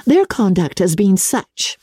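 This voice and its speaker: A woman voicing a spirited, earnest young gentlewoman in a dramatic reading of a classic novel, with emphatic, formal period speech. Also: mid-range voice, big pitch swings, takes it slowly, husky, no echo, clean studio sound, pitched above the performer's own voice, heavily acted.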